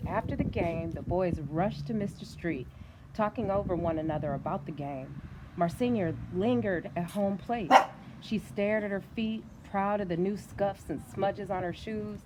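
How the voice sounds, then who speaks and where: A middle-aged woman reading a storybook aloud in an encouraging, conversational way, pausing to put questions to a young listener. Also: mid-range voice, very noisy.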